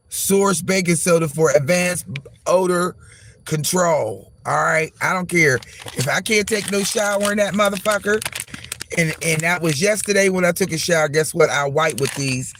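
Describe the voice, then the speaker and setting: A man vlogging casually from his car, hoarse and losing his voice from a chest cold, talking in a rambling, profane, frank way. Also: sits high, a bit bright, slight background noise. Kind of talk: casual vlog monologue. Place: parked car.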